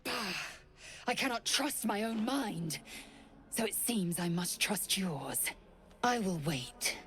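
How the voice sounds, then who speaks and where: An actress playing a fierce, proud, distrustful githyanki warrior in a video game, clipped and severe. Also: female voice, mid-range voice, expressive, slow, slight background noise. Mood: distrustful, threatening.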